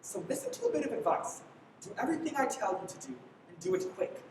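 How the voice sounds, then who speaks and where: A woman's voice: sing-song, fast talker. A young woman playing a brash, sardonic man on the run in a live radio play, bossy and theatrical. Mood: stern, threatening. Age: teens. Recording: echoey hall from far off, faint rumble.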